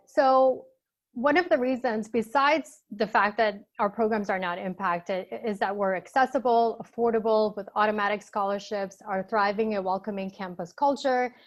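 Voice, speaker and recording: Brief: woman, native Albanian speaker, admissions rep, upbeat promotional webinar delivery over a video call. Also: a little high. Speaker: admissions counselor. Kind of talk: webinar presentation.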